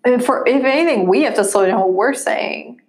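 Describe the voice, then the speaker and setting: A woman's voice: a little high, lively intonation. Chatty Tennessee woman. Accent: Tennessee Southern. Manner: chatty, drawn-out vowels. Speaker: podcast co-host. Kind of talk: casual chat.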